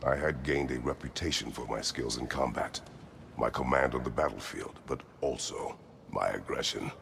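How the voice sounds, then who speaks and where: Battle-worn war god for a game: extremely low, heavily gravelled, terse and grave, in long reverb. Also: male voice, moderate pace, a bit bright, a little hiss or hum, exaggerated voice. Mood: solemn, reflective. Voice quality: very deep, gravelly. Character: brooding, battle-worn former god of war and Spartan general. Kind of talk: dramatic video game acting. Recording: long cavernous reverb, music behind.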